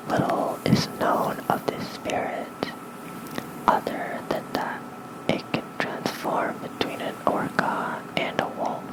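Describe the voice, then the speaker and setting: An ASMR host reading lore in a soft, breathy whisper into a close microphone, unhurried and halting, with informal asides. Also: rough recording. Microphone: close fluffy-covered ASMR microphone.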